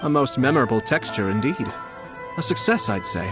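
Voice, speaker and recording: An actor playing a composed, polite young nobleman in a video game, shrewd and quietly mischievous, speaking in measured phrases. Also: mid-range voice, animated, music in the background, close to an everyday voice. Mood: pleasant, reflective. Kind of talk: video game character acting.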